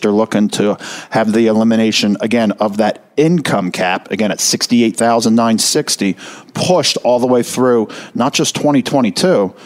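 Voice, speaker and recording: A middle-aged man talks listeners through retirement money matters in an energetic, emphatic way. He slows right down to stress each key figure. His voice sits at an ordinary middle pitch for a man. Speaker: retirement financial advisor. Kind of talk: explanatory talk to listeners.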